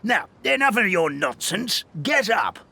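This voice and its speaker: A man voicing an elderly, fussy origami forest animal in a game, expressive and prone to chuckling and scolding. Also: high voice, unhurried, gravelly, exaggerated voice. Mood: scolding, teasing. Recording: music under the voice.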